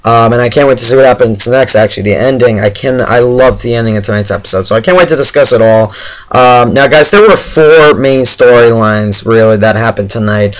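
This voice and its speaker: A man with a hoarse, tired voice giving a casual, chatty, somewhat halting TV episode review straight to his viewers. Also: mid-range voice, poor audio. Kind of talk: casual spoken review to camera.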